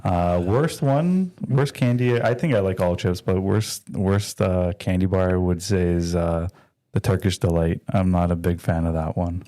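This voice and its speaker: A man's voice: deep voice, moderate pace, mellow. A man, a guest in a casual chat, talking in a rambling, playful way.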